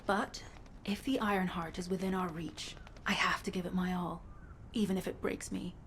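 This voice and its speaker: A woman's voice: on the low side, flat delivery, moderate pace, fairly bright tone, a little hiss or hum. A woman playing a dutiful, weary but determined recon soldier in a video game, speaking sincerely. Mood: apologetic, resolute. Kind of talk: scripted video game dialogue.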